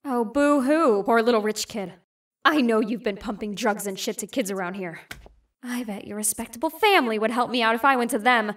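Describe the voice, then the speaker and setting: A woman's voice: on the high side, a little fast, natural voice. A woman voicing a brash, foul-mouthed punk teenage girl who mocks and provokes. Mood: mocking. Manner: cocky, mocking, foul-mouthed. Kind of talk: fan animation voice acting.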